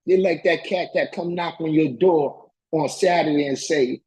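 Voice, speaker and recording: Raspy, high-pitched man lecturing emphatically. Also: normal speed, a little mellow. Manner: emphatic, repeats phrases for emphasis, colloquial. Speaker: political education lecturer. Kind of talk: impassioned political lecture, reading and commenting on a text.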